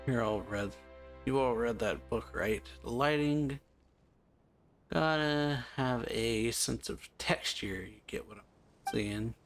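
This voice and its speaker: A man doing a casual let's play, reading game dialogue aloud in a halting, offhand way. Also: medium pitch. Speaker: let's player. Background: game music.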